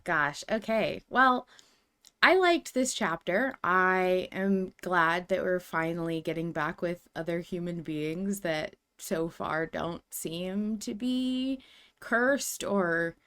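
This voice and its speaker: A woman's voice: fairly low pitch, slow pace, a bit bright, clean tone. A woman co-hosting a podcast, chatting casually as a fan. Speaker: podcast co-host.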